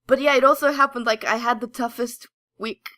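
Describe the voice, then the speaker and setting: A woman co-hosting a casual geeky podcast, telling stories in an excitable, giggly, gushing way. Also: fairly high pitch, fairly quick, dry recording, studio quality. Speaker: podcast co-host. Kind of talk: casual geeky chat, animated storytelling.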